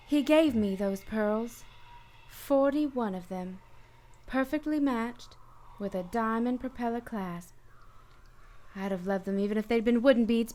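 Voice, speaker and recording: Woman acting a high-voiced lovelorn noir dame. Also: a little mellow, close to an everyday voice. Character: lovelorn, troubled married woman in a noir mystery. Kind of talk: radio play acting.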